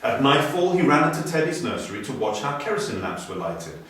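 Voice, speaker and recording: Animated male storyteller in an echoey room. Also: medium pitch, brisk. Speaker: storybook reader. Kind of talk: scripted children's story narration, expressive. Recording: very echoey room, distant mic.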